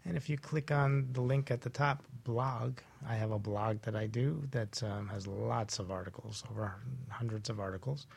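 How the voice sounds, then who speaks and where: A man's voice: lowish voice, fairly even intonation, normal speed, creaky, few pauses, a little mellow, breathy edge. A man speaking casually as an expert guest in a radio interview. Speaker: guest doctor and author.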